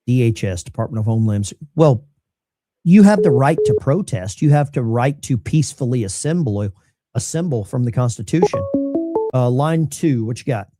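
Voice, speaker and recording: A man's voice: medium pitch, lively intonation, warm tone, soft background music. A man hosting a call-in show in a casual, joking, offhand way. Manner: joking, offhand, quick quips.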